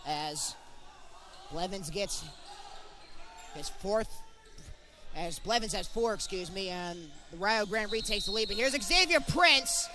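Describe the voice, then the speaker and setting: A man's voice: sits high, expressive, takes it slowly, pauses often, background noise. A young man calling live college basketball play-by-play in short, clipped present-tense phrases. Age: young adult. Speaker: play-by-play sportscaster.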